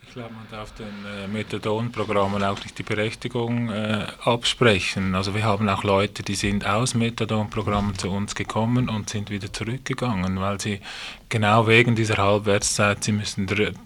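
A man from Switzerland speaking in a panel discussion as a programme worker, halting and rephrasing as he goes. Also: fairly low pitch, level tone, a bit bright, a little hiss or hum.

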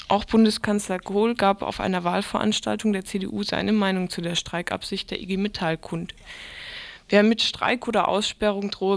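Woman reading news in flat intonation. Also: medium pitch. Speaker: radio news presenter.

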